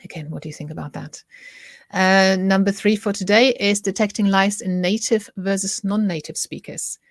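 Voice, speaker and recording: A woman's voice: medium pitch, fairly even intonation, normal speed, no echo, studio quality. A middle-aged woman with a German accent, hosting a casual talk to camera, chatty, often breaking off mid-sentence to ask viewers what they think. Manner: chatty, self-interrupting, asks viewers for opinions. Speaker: host.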